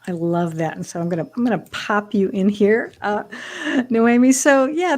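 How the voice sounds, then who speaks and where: A woman's voice: medium pitch, a little mellow. A woman from Michigan hosting a livestream talk show, chatty and upbeat with frequent restarts. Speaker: show host.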